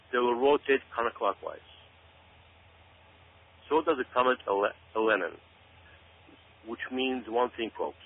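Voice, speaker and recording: A man's voice: medium pitch, husky. A man from Israel giving emphatic, explanatory talk-radio commentary as a guest, often repeating phrases for stress. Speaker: author and researcher, radio show guest. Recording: tinny phone-like radio audio.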